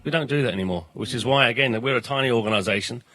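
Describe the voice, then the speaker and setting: Fast-talking South London man in his fifties. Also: mid-range voice. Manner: fast, forceful, repeats words when starting sentences. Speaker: political education organiser, guest. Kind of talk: passionate interview talk, swearing. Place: at a political festival.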